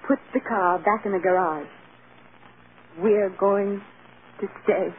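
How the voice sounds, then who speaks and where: An actress playing an earnest, emotionally fragile young film star in 1940s radio drama style. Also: female voice, mid-range voice, steady tone, slow pace, close to an everyday voice. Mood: resolute. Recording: tinny, hissy old radio recording.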